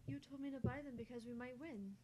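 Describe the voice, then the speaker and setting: Woman acting a timid, high-voiced teenage boy. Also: fairly even intonation, quick pace, dark tone, lightly acted. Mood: timid, apologetic. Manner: hesitant, stammering, polite. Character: timid, apologetic, soft-natured teenage boy. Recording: faint background noise.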